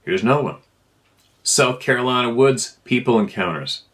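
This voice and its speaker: A man's voice: medium pitch, expressive, moderate pace, a bit bright. A man reading a first-person account aloud in an informal storytelling style. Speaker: narrator reading listener emails.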